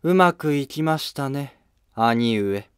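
A man's voice: medium pitch, animated, slow, smooth, no echo, studio quality. A man voicing a conspiring younger sister at court, polite and insinuating. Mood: conspiratorial.

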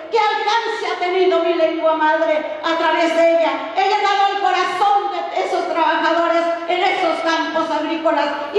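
A middle-aged woman from Oaxaca giving an impassioned, declamatory speech on stage into a handheld microphone. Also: very high voice. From Oaxaca, Mexico. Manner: impassioned, declamatory, slow emphatic phrasing. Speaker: pageant contestant giving a speech. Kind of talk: proud public speech on stage. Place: large hall stage at a cultural festival. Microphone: handheld microphone through a PA. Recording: very echoey hall, captured from far off.

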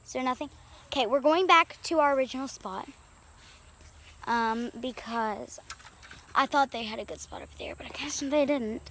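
A woman's voice: high-pitched, normal speed, pauses often, fairly bright tone, slight background noise. A female vlogger chatting casually outdoors by a small creek into a handheld camera, rambling with lots of fillers. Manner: rambling, filler-heavy, upbeat. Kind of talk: casual vlog chat.

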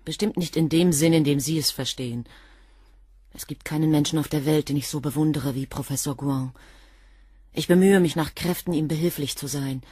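An actress playing a cool, devoted assistant to a professor in a radio drama, answering questions in a guarded, curt way. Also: female voice, low-pitched, moderate pace, mellow, slightly rough, dry recording, clean studio sound. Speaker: witness under questioning.

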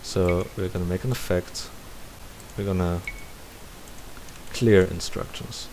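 A man's voice: low voice, slow pace, rough recording. A man giving a casual screen-recorded game tutorial, thinking aloud step by step. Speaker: tutorial presenter. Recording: steady hiss behind the voice.

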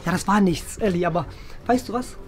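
A young man hosting a challenge vlog with playful banter, animated and teasing. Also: high-pitched, brisk. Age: young adult. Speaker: host. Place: small indoor studio storage rooms. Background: music.

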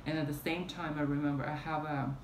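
Young woman vlogger, low flat gravelly voice, fan humming in the background. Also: brisk. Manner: rambling, many fillers and restarts. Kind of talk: casual storytime vlog. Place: small room at home, indoors, fan running. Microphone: camera in front at arm's length. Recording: roomy with fan noise behind. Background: fan hum.

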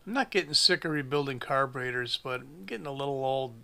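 Man, 40s, repair mechanic, crisp bright voice, dry humor, workshop bench. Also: medium pitch, fast talker. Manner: plain-spoken, dry humor, thinks aloud while working. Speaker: small engine repair shop mechanic. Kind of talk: casual workshop repair narration.

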